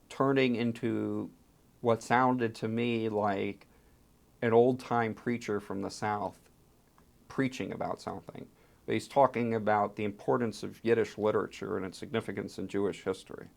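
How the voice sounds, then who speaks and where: Man, low register with heavy creak, oral history interviewee, storytelling with emphatic pauses. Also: a little mellow. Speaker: interviewee, archive fellow and translator.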